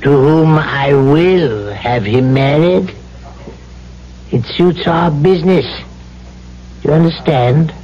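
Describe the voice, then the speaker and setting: High-pitched, deliberate island boss. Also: male voice. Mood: commanding. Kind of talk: radio drama acting. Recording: dull old radio recording.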